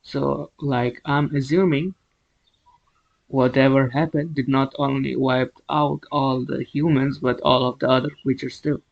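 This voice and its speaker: A man's voice: medium pitch, little pitch movement, a little slow, creaky, highs cut off. A man hosting a reaction video with casual, musing commentary, often putting questions to his listeners.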